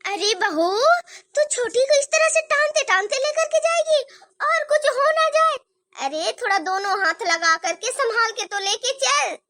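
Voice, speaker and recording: Lady doing a bossy worried mother-in-law, very high voice, dry close mic, snapping orders. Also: expressive, a little slow, bright, forceful tone, no echo, exaggerated voice. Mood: scolding. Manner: scolding, urgent commands. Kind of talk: homemade family drama skit.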